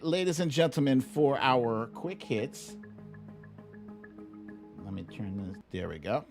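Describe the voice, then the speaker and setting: A man hosting a casual livestream, chatting through news and viewer comments in a wry, teasing, colloquial way. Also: on the high side. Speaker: livestream show host.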